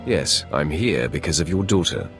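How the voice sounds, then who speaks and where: A man's voice: rumbling low, expressive, normal speed, gravelly, soft background music, full character voice. A male actor playing a ruthless, sardonic Sith warrior in a video game, with a cold and menacing manner. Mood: cold menace. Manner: cold, menacing, dryly arrogant. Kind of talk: video game dramatic acting.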